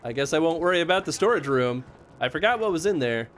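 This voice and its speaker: A man streaming a horror game live, chatting casually and yelling excitedly at the action. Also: fairly high pitch, quick pace, soft background music. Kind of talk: live gameplay commentary.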